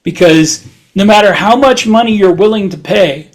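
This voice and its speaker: A man's voice: sits high, moderate pace. A man co-hosting a podcast in casual conversation. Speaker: podcast co-host. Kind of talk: casual chat.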